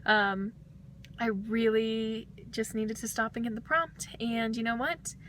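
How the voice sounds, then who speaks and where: A young woman talking casually to the camera, slightly hoarse after singing, rambling with fillers and small laughs. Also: a little high, fairly even intonation, takes it slowly, a bit bright, slight background noise. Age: young adult. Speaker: vlogger, voice student. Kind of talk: casual vlog talk to camera.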